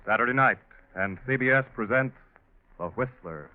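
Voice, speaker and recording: A man's voice: medium pitch, expressive, moderate pace. A man announcing a program in 1940s radio style. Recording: tinny 1940s radio broadcast. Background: loud music.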